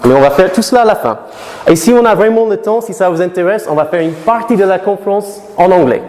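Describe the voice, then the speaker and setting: A man's voice: high voice, lively intonation, darkish tone. A middle-aged American man, a native English speaker, giving a live conference talk to students, often asking the audience questions and restarting his phrases. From United States. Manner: asks the audience many questions, halting with restarts. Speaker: lecturer. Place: lecture hall. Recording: echoey hall, long reverb.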